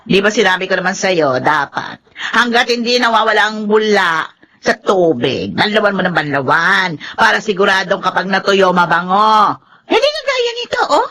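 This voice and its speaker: A Filipino actress playing an elderly, exclamatory mother-in-law and grandmother in a radio drama, fussy and quick to nag. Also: medium pitch, sing-song, pushed and bright. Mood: scolding, exasperated.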